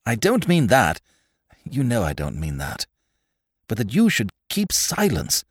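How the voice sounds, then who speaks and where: An earnest male detective from a novel , as a man reading aloud acts him. He is protective and distressed, pleading with formal courtesy and emphatic repetition. The delivery is very varied in pitch, in a dry space. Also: wildly swooping pitch, measured pace, slightly rough, dry recording, full character voice. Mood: disbelieving.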